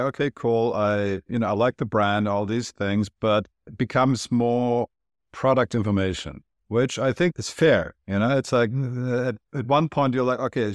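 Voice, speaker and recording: A man's voice: mid-range voice, no room sound. A man from Turkey, a studio founder, answering interview questions in a thoughtful way that explains through comparisons.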